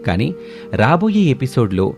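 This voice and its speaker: A man's voice: mid-range voice, big pitch swings, measured pace, vocal fry, darkish tone, faint music behind. A man reading a scripted family story as a dramatic narrator.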